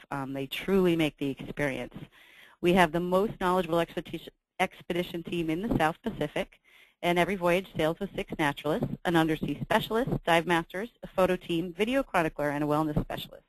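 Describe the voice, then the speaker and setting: Woman host, slightly low voice, dry close sound, upbeat scripted webinar delivery. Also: dry recording, rough recording. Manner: reads from slides, brisk and upbeat with filler ums. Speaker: webinar host for a travel company. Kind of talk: scripted sales presentation.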